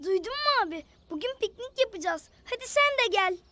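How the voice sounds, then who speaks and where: A woman's voice: very high-pitched, brisk, full character voice. A woman voicing a cheerful, curious little girl in a children's cartoon, talking expressively to her animal friends. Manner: expressive, talks aloud to her pets.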